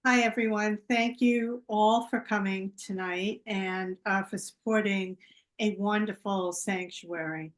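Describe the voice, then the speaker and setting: A woman hosting an online fundraiser, introducing her group slowly with many pauses and fillers. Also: mid-range voice, fairly even intonation. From United States. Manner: slow and halting, with many fillers. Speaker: team captain and event host. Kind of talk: casual introduction.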